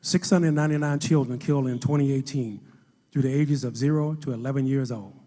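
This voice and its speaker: An actor playing the grave announcer of an audio drama, narrating in a solemn, deliberate manner. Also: male voice, mid-range voice, mellow, natural voice. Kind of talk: scripted narration. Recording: long reverberant hall effect.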